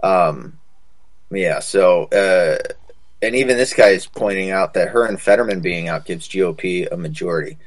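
A man's voice: deep voice, normal speed, no echo. A man talking casually as a podcast co-host, rambling through stories and politics in colloquial, sweary language. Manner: profane, colloquial, calls listener dude.

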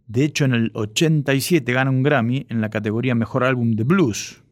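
A man's voice: medium pitch, no echo. A man presenting a music radio show from a script, close to a studio microphone.

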